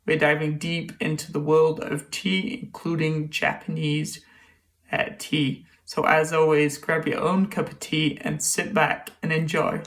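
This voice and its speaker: A man's voice: on the high side, little pitch movement, unhurried, fairly bright tone. A man hosting a casual tea podcast interview, upbeat and chatty, often stammering and restarting his questions. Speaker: podcast host.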